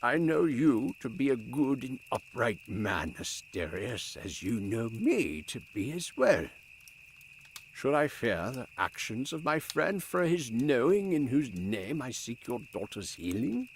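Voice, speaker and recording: A man playing a gentle, devout old healer and priest in an audio drama, speaking earnestly in measured, old-fashioned formal phrases. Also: mid-range voice, big pitch swings, slight background noise. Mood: calm, steadfast.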